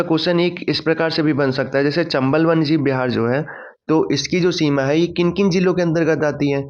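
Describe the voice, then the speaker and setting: Male teacher, fairly high pitch, didactic question-and-answer revision delivery, dull low-fidelity sound. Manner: didactic, poses questions then answers them, repeats key points. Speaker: exam-prep teacher.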